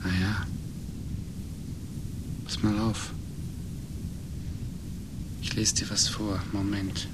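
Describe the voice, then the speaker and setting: A man playing a thoughtful, calmly defiant writer in a German radio play, with a reflective, measured manner. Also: fairly low pitch, very noisy. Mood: reflective, resolute.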